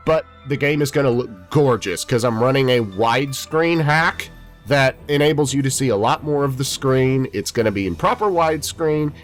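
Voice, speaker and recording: A man in his twenties, stuffy from a head cold, giving rambling, chatty let's-play commentary. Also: fairly high pitch. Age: 20s. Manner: rambling, chatty, self-deprecating. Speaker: gaming YouTuber.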